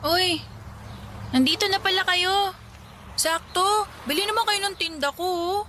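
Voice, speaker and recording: A woman's voice: extremely high pitch, a little slow, bright, forceful tone, a little hiss or hum, exaggerated voice. A woman playing a spirited, cheerful young street vendor in a Filipino radio drama, sassy and bantering. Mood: playful, hawking.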